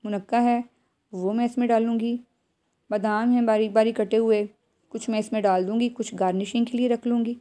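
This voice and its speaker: A woman's voice: fairly high pitch, fairly even intonation, brisk, dark tone. A woman with a Pakistani accent narrating a recipe step by step as a home cook, addressing viewers directly and instructively. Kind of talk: step-by-step cooking tutorial voice-over.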